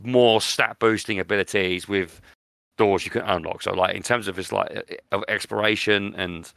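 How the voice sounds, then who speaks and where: A man's voice: fairly low pitch, steady tone, moderate pace, creaky, a bit bright, dry recording, clean studio sound. A British man chatting casually as a podcast host, enthusiastic and often repeating words for emphasis. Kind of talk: casual chat.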